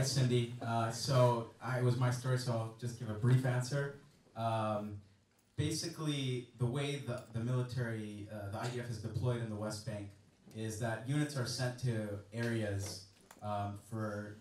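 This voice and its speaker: A man's voice: on the low side, a little mellow, a little hiss or hum. A man on a panel answering an audience question, hesitant, with many fillers and restarts. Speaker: panelist, former soldier. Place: large indoor event room. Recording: echoey hall, far from mic.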